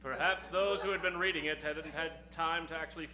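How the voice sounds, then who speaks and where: A man's voice: on the high side, steady tone, brisk. A man hosting and narrating a live comedy in the showy, theatrical style of a 1940s radio announcer. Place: live stage before an audience.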